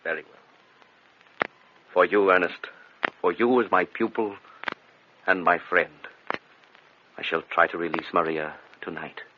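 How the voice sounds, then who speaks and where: A commanding, self-assured mesmerist doctor in a gothic radio play. He speaks in a lowish, formal, condescending voice. Faint hiss sits over a dull recording. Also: fairly even intonation, unhurried, pauses often. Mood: smooth, placating. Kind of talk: gothic radio drama acting. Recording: dull, noisy vintage-style radio recording.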